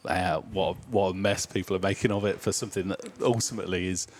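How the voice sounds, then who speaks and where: A man from the Nottingham area, a brewery owner chatting in an interview and filling pauses with ums and uhs. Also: low voice, steady tone. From Nottingham area, England. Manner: hesitant, many ums and uhs. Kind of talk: casual interview chat.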